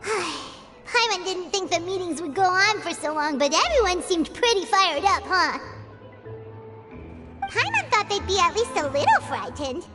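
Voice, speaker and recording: A woman voicing a tiny, excitable, talkative fairy companion in a video game, with a squeaky childlike voice and an exclamatory manner. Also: measured pace, over music, heavily acted. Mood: chatty, relieved. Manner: refers to self in third person, exclamatory.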